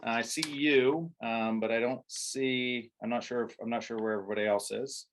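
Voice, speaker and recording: Casting: mid-pitched male host on a video call, halting and filler-heavy. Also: fairly even intonation, a little hiss or hum. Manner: filler-heavy, many "uh"s and restarts. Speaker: company leader hosting a training call. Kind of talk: live video call meeting.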